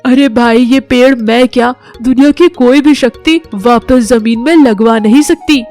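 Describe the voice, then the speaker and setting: A woman's voice: a little high, measured pace, a little mellow, soft background music, close to an everyday voice. A woman voicing a proud, bossy male elephant who boasts and demands respect in a children's animal fable. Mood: defeated. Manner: pompous, demanding.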